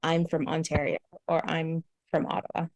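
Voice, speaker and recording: A woman's voice: deep voice, flat delivery, moderate pace, a little mellow, dry recording. A young Canadian woman hosting a podcast conversation, speaking thoughtfully with many fillers and restarts. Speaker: host. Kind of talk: casual conversation.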